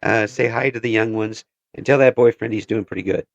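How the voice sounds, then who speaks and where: A man hosting a casual interview, chatty and quick to laugh, often trailing off and restarting his sentences. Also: on the low side, steady tone, gravelly, no room sound, dull audio. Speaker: interview host.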